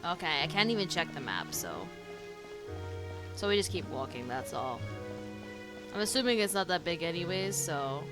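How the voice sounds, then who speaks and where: A woman streaming a game, reacting out loud in a casual, expressive chat style. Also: fairly low pitch, moderate pace, pauses often. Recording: game music behind the voice.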